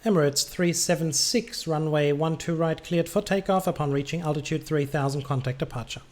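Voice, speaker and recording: A man's voice: a little high, fairly quick. A man streaming as an air traffic controller, giving clipped procedural commands mixed with casual muttered asides.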